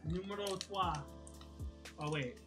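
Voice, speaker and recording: A young adult man with a high tenor voice, co-hosting with casual, joking banter and playful quips in a small room. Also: takes it slowly, pushed and bright. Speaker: co-host. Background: background music.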